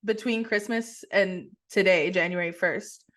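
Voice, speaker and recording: A young woman co-hosting a casual podcast from her kitchen over a video call, talking in a relaxed, rambling way with many "like"s and restarts. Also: fairly low pitch, measured pace. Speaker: podcast co-host. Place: kitchen at home.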